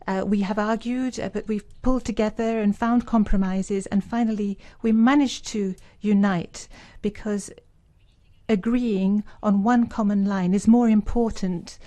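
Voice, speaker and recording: A woman's voice: mid-range voice, steady tone, a little slow, warm tone. A woman working as a simultaneous interpreter at a formal conference, rendering speeches into English with frequent fillers and restarts. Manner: hesitant, many fillers while keeping up with the speaker. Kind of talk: live interpretation of citizen speeches.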